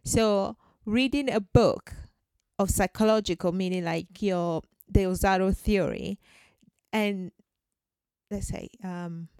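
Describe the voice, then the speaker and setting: A woman's voice: mid-range voice, takes it slowly, darkish tone, clear, resonant tone, no echo. A woman from Ghana, a guest in a podcast conversation, talking earnestly and leaning on "like" and "so" as fillers. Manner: earnest and chatty, leans on "like" and "so".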